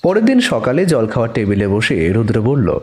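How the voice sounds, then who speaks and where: A man's voice: medium pitch, very animated, moderate pace, dark tone, natural voice. A man playing the detective's companion, narrating a mystery story expressively in an audio drama. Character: detective's male companion, first-person narrator, puzzled.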